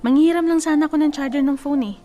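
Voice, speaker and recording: An actress playing a blunt, bossy female cousin in a radio drama, teasing and scolding with a sharp tongue. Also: high-pitched, fast, soft background music, close to an everyday voice.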